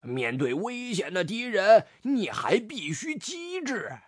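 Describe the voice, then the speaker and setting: A storyteller voicing a kindly old grandfather toad, brave and wily, with a husky voice. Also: medium pitch, sing-song, slow pace, no echo, clean studio sound, pitched above the performer's own voice, exaggerated voice. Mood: pleased, instructive. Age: older.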